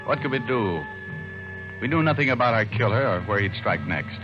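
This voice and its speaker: An actor playing a cool, hard-boiled private detective, narrating in clipped, matter-of-fact style for a radio noir. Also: male voice, medium pitch, expressive, normal speed, close to an everyday voice. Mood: grim, matter-of-fact. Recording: muffled vintage radio, music behind.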